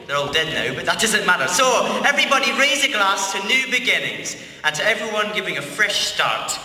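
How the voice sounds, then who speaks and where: A man's voice: a little high, bright, forceful tone, heavily acted. A man playing a pompous, tactless school head making grandiose speeches in a comic amateur play, recorded from afar in a very echoey hall. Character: pompous, blunt school head addressing students. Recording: very echoey hall, distant mic, faint noise.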